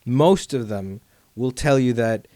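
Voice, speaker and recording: A man's voice: medium pitch, slow pace, warm tone, dry recording. A man hosting a podcast in casual chat. He rambles, uses many fillers and laughs easily. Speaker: podcast host.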